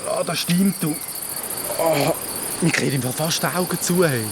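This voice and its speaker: A woman's voice: low voice, normal speed, noisy background. A woman voicing a loyal, easily frightened young boy in a children's radio play, with a Swiss German accent. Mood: weary, chatty.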